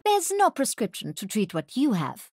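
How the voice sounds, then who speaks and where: An actress voicing a caring, principled woman doctor in a video game, speaking softly and precisely. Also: on the high side, wildly swooping pitch, normal speed, husky, dry recording, clean studio sound, full character voice. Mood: gentle concern. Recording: game clip with music behind.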